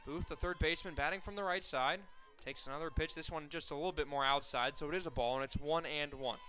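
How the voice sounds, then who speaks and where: A young man calling softball play-by-play on the radio, steady and full of stats, rising sharply on big plays. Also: fairly high pitch, quick pace. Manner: steady, fact-filled call that rises sharply on big plays. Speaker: play-by-play announcer.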